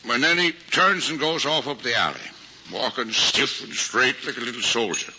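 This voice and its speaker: An actor playing a good-hearted, hapless working-class cab driver with folksy, ungrammatical talk in an old-time comedy drama. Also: male voice, mid-range voice, moderate pace, sharp tone. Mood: chatty storytelling. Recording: dull lo-fi vintage recording, faint noise.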